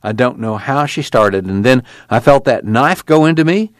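A man voicing a blunt, rough-mouthed rural man in an audiobook, hungry and restless, with earthy, folksy talk. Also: medium pitch, lively intonation, moderate pace, no room sound, clean studio sound, voice pushed up, lightly acted. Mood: matter-of-fact.

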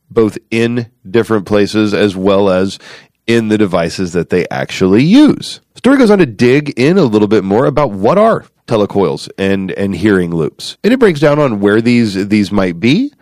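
A man hosting a podcast in a relaxed, chatty way, with filler words and repeats. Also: fairly low pitch, no echo, studio quality. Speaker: podcast host.

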